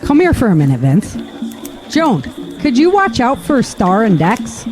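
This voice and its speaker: A woman's voice: fairly high pitch, wildly swooping pitch, unhurried, music in the background. A woman playing a spirited wife and mother who is a survivor in an audio drama. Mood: warm, bantering.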